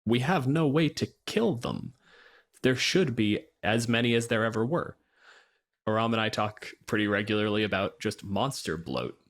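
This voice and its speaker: Wry man with a lowish voice. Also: animated, normal speed. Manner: wry, opinionated. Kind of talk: casual analytical discussion of game lore.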